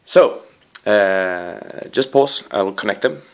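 A man with a fairly low voice talks very slowly through a casual hands-on gadget review. He rambles and slips in apologetic asides, with a playful, excitable streak. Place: living room with wooden floor and rug.

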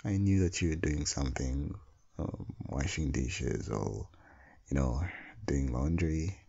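A man playing an affectionate, playful husband and doting father in an intimate ASMR audio roleplay, with a husky, soothing delivery. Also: darkish tone, highs cut off, full character voice. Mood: affectionate, teasing. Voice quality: low, gravelly, husky.

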